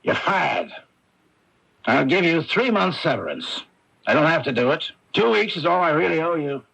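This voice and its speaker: Gruff, gravelly, curt publisher. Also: male voice, on the high side, big pitch swings, moderate pace, fairly bright tone, exaggerated voice, rough recording. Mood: brusque. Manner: blunt, brusque. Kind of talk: 1980s radio drama acting.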